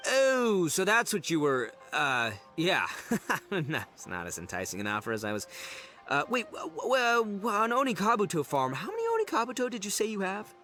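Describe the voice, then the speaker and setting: A man's voice: high-pitched, wildly swooping pitch, moderate pace, pushed and bright, faint music behind, heavily acted. An actor voicing a boisterous, gullible, big-hearted young man in a video game, brash and bumbling as he talks. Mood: blustering, tempted. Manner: bumbling, brash, stammering over big words. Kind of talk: exaggerated video game voice acting.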